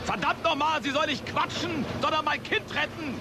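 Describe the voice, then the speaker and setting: A man's voice: very high-pitched, a little fast, creaky, very noisy. An actor in a radio play playing an anxious, impatient father, agitated and pleading. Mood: frantic, angry. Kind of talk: German radio play acting.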